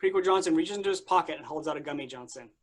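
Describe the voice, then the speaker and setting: A man with a slightly high voice narrates a play script. He reads short stage directions for a staged reading. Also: rapid-fire.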